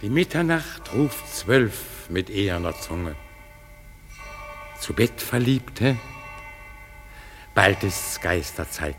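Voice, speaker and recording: A man's voice: fairly low pitch, lively intonation, takes it slowly, pauses often, fairly bright tone. An actor playing an amused, commanding nobleman in a radio play, with stately theatrical declamation. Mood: stately, festive. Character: Theseus, noble duke, amused and commanding host of festivities. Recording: long hall reverb, faint music behind.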